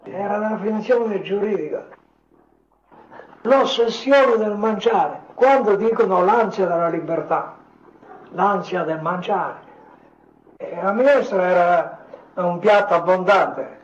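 An elderly man, a grandfather, informally recounting his memories as a veteran in short, halting phrases. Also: high-pitched, echoey room, muffled recording, a little hiss or hum. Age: older, a grandfather. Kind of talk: informal spoken reminiscence.

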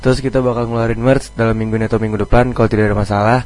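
A man's voice: fairly low pitch, level tone, racing, a little mellow. A man speaking casually as a band member in a radio interview.

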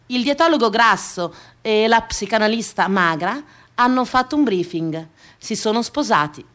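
A woman performing a theatrical comic monologue, expressive, with deadpan comic lists. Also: fairly high pitch, moderate pace.

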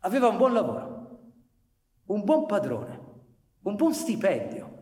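An actor performing emphatic, brooding narration of a bitter anarchist's story for radio theatre. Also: male voice, high-pitched, huge pitch swings, measured pace, dark tone, heavily acted. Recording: reverberant hall, live music behind. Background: live music.

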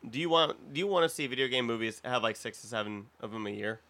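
A man's voice: mid-range voice, fast talker, pushed and bright. A man co-hosting a casual panel discussion, talking in an opinionated way and swearing casually. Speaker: podcast co-host.

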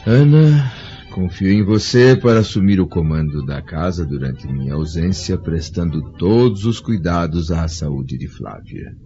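A man's voice: deep voice, unhurried, dark tone. An actor playing a dignified, loyal, aging Roman senator and caring father in a radio drama, solemn and courtly. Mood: reassuring. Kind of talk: formal radio drama acting. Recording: dull lo-fi recording, music behind.

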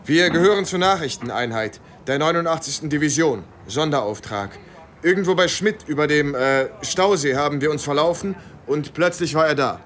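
Guarded, polite young-sounding army lieutenant. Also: male voice, on the high side, animated, normal speed, fairly bright tone. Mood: formal, guarded. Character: guarded, polite German first lieutenant in wartime. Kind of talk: German radio drama acting.

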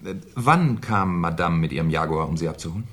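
A man acting a polite, pedantic, quietly sceptical police inspector in a German radio crime play, questioning hesitantly and deliberately. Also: deep voice, animated. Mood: methodical, courteous.

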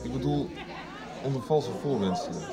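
An actor playing a chatty friend over a late-night beer in a radio soap, casual, hesitant and musing. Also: male voice, mid-range voice, expressive, normal speed, close to an everyday voice. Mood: musing, gossipy. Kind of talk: naturalistic radio soap acting. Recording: radio drama with music and crowd behind.

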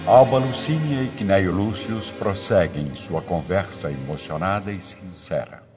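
Deep guy narrating a radio soap, muffled sound w music up behind. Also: unhurried. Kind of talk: scripted dramatic narration. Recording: dull lo-fi radio drama, prominent music.

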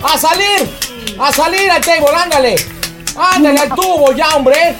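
A man's voice: very high-pitched, measured pace, voice pushed up. A man voicing a pushy, bullying strip club boss with a Mexican accent, barking repeated orders. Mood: bossy, impatient. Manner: shouting repeated commands. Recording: loud music and crowd noise behind.

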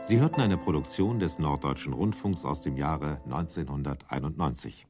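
A man's voice: very deep voice, normal speed. A man reading credits as a radio announcer in a formal, scripted style. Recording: prominent piano music under voice. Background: loud piano music.

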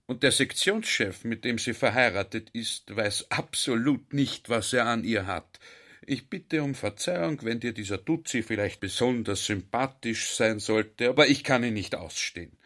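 A man's voice: medium pitch, moderate pace, fairly bright tone, close to an everyday voice. Man playing an effusive, confiding army general. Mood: admiring, confiding. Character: talkative Austrian army general, earnest, baffled by ideas. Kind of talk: radio drama monologue.

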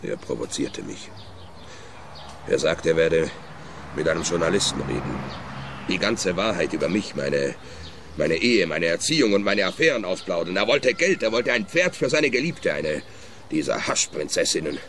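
Arrogant rich wine magnate, very deep voice, Swiss German accent, faint hiss behind. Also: male voice, sing-song, moderate pace. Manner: cold, arrogant, self-assured.

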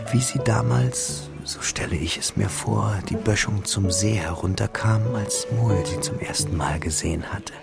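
A man recalling the past as a literary narrator. His voice is fairly low and full of creak, with a restrained, melancholic way of telling. Loud instrumental music plays behind him. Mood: reflective. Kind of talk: literary scripted narration in radio drama.

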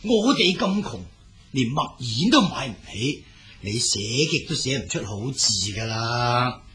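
A man acts a careworn old father in a radio folk tale. His voice is sharp and very raspy, with big swings in pitch at a deliberate tempo. He scolds and admonishes out of love. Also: sing-song, a little hiss or hum. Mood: admonishing, weary. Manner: nagging, sighing, admonishing. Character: scolding but loving poor old father. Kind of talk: Cantonese storytelling radio drama acting.

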